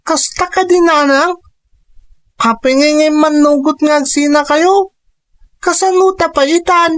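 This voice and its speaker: A woman's voice: high-pitched, fairly even intonation, unhurried, dry recording, studio quality. A woman voicing a young son in a radio drama, anxiously questioning his mother about his father.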